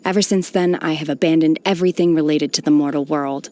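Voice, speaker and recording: An actress playing a solemn, aloof young woman trained by immortals in a video game, speaking in a formal, measured, restrained way with a touch of melancholy. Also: low voice, fairly even intonation, a little mellow, close to an everyday voice. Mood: somber, wistful. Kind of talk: scripted video game character voice lines.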